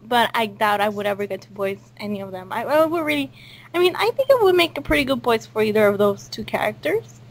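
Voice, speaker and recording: A woman with a fairly high voice hosts a casual interview. She talks in a hesitant, rambling way. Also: lively intonation, quick pace. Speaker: host of a cast interview.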